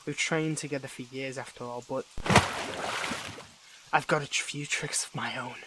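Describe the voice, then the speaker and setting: A man playing a weary, defiant young superhero in a dramatic audio roleplay, speaking haltingly and broodingly to the listener. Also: mid-range voice, fairly even intonation, sharp tone, slight background noise, lightly acted, low-quality recording. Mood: resigned, wry.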